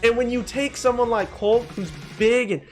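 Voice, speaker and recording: A man's voice: extremely high pitch, normal speed, soft background music. A young man giving casual, opinionated, joking commentary as he ranks things in a gaming video. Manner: animated, joking, mildly profane.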